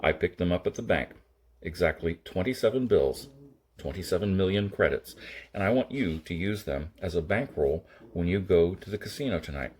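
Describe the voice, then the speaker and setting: A man's voice: low voice, animated, normal speed, darkish tone, a little hiss or hum. A man voicing a gray-haired, rock-hard older gunman from a science fiction audiobook, curt and commanding in a level, impatient way. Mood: matter-of-fact. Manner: level, grim, impatient delivery.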